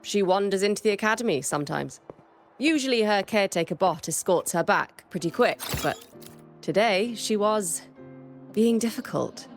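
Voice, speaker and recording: A woman's voice: mid-range voice, animated, moderate pace, soft background music, lightly acted. A woman playing a stern, dry, world-weary former teacher in a game, speaking in a composed, matter-of-fact way. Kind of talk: scripted game dialogue.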